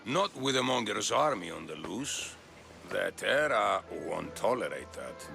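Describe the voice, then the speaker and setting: An actor playing a sly, rambling townsman in a video game, with a gravelly voice. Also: lowish voice, very animated, measured pace, over music, full character voice. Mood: sly, wistful.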